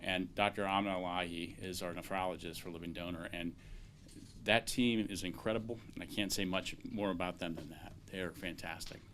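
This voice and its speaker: A man speaking as a transplant doctor at a press conference, measured and peppered with filler sounds. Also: sits low, steady tone, fairly bright tone, a little hiss or hum.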